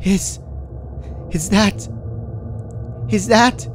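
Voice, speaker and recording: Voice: mid-range voice, very slow, a little hiss or hum, voice pushed up. A performer voicing a terrified young female pegasus pony in a fan fiction reading, pleading in a breathless, stammering way. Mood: pleading, horrified.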